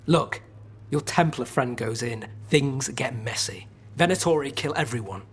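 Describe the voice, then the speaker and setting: An actor playing a wry, scheming criminal informant in a video game, bargaining in an informal, sly way. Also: male voice, medium pitch, a little slow, a little hiss or hum, close to an everyday voice. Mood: sly, conspiratorial.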